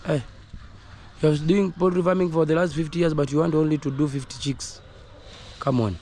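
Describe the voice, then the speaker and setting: A hoarse man with a Kenyan accent, earnestly coaching viewers on his poultry farm in a repetitive manner. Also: a little high, fairly even intonation, brisk, a little mellow. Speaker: poultry farmer. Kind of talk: casual instructional vlog. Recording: noisy outdoor recording with roosters crowing.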